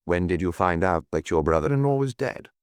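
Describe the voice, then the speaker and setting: A man voicing a detective chief inspector in an audiobook, quiet, measured and persistent in his questioning. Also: deep voice, expressive, clean tone, no echo, voice pushed down. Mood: polite, probing. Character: calm, probing male detective chief inspector.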